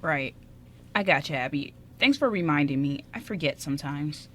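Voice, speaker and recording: A woman's voice: low-pitched, animated, normal speed, a bit bright. A woman playing a shy, smitten young man in an amateur radio drama, speaking in a hesitant, stammering way. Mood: earnest, bashful.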